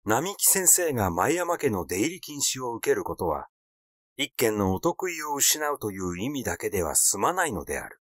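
A man reading a literary story aloud as a scripted, measured narration. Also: medium pitch, big pitch swings, fairly bright tone, no room sound, studio quality. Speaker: audiobook reader.

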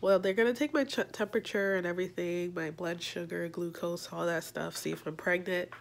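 A woman's voice: fairly low pitch, fairly even intonation, brisk, gravelly. A young woman chatting casually into her phone camera for a vlog, a little congested, rambling and joking about herself. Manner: chatty, rambling, self-mocking. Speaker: vlogger. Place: small room indoors. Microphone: phone camera at arm's length.

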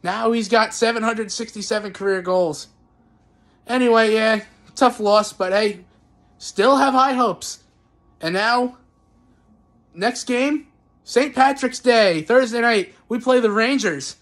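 A young man giving casual, excitable postgame recaps as a sports fan, recorded on a phone at home. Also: high-pitched, takes it slowly, pauses often. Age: young adult. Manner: excitable, exclamatory fan cheering. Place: small indoor room at home. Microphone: phone at arm's length.

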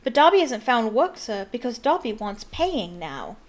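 A woman voicing a small, eager male house elf from a storybook, squeaky and servile, with broken grammar. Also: sing-song, normal speed. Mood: earnest, proud. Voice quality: squeaky, shrill.

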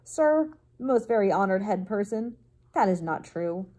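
A woman's voice: medium pitch, animated, a little slow, mellow. A woman voicing a small, polite male mouse in a storybook, earnest and formal as he pleads. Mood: urgent. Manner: formal, deferential, insistent. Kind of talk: storybook character voice.

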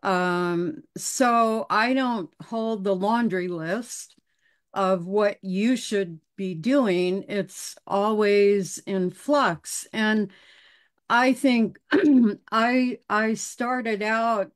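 Dog behavior lady on a zoom panel, talks real slow, smooth clear voice, lots of restarts. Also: medium pitch. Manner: slow, thoughtful, with hesitant restarts. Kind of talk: panel discussion on a video call. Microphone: video call.

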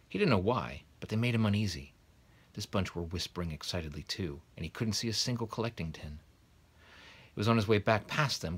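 Deep, husky man reading a storybook. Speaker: guest reader of a children's novel. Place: small bedroom, indoors, sitting in bed.

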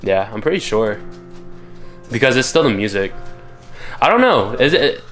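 A young man talking into a handheld camera in a living room, using playful slang and teasing a friend. His voice sits at a medium pitch. Music plays clearly in the background. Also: big pitch swings, normal speed. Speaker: vlogger. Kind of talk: casual vlog chat. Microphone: handheld camera with mounted mic.

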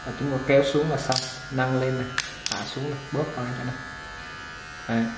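Relaxed man demoing clippers in an echoey shop. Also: mid-range voice, brisk. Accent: Vietnamese. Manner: relaxed, talks while handling the product, many fillers. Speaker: product reviewer for a barber supply shop. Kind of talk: casual unboxing demonstration. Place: indoor shop table with clipper boxes. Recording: echoey room with machine noise. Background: clipper motor buzz and clicks.

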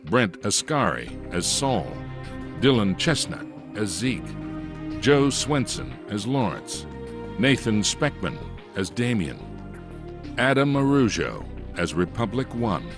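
A man's voice: fairly low pitch, very animated, takes it slowly, over music. A man reading scripted credits as the announcer of an audio drama.

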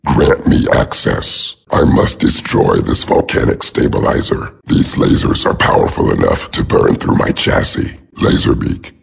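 An actor playing a cold, methodical giant robot soldier in a video game, deadpan and formal, with a raspy voice through a robotic filter. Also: male voice, rumbling low, unhurried, few pauses, full character voice. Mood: cold, deliberate. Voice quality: raspy, gravelly. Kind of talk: video game voice acting, clipped reports.

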